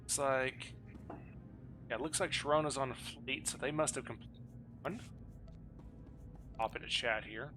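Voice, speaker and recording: A man's voice: mid-range voice, measured pace, long pauses, music in the background. A man leading a group of players, talking casually and jokingly during a game. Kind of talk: casual gaming chat.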